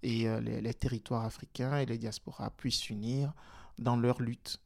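A man's voice: mid-range voice, little pitch movement, normal speed, creaky, darkish tone. A man from Togo, speaking as an interview guest, hesitant and full of fillers and restarts. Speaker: guest, politician and teacher. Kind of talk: casual interview talk.

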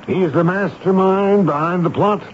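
An actor playing a stern, commanding American army major in a 1970s radio drama, with an authoritative, clipped, urgent manner. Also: male voice, high-pitched, moderate pace, lightly acted. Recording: noisy, muffled old radio broadcast.

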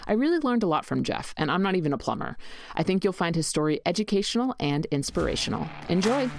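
A woman's voice: lowish voice, big pitch swings, quick pace. A woman hosting an interview podcast, introducing her guest and asking questions in an upbeat, eager way. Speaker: podcast host.

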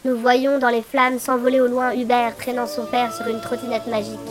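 A woman's voice: fairly high pitch, little pitch movement, fairly quick, natural voice. A woman playing Santa's daughter as a live reporter, polite and dramatic, in a comic audio drama. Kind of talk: mock live news reporting in audio comedy. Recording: long reverberant hall.

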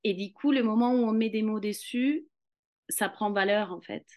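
A woman's voice: mid-range voice, normal speed, a little mellow. Woman, hesitant and searching. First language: Italian. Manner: hesitant, many fillers and restarts, searching for words. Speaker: guest, Pilates and Rolfing practitioner. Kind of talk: conversational interview.

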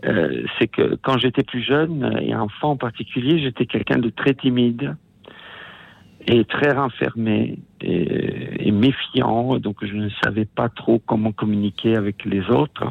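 Italian-born male caller, gravelly, hesitant; tinny phone line. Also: medium pitch, dry recording. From Italy. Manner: hesitant, halting, many restarts. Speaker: radio caller, musician. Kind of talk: phone-in call to a radio show. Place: at home.

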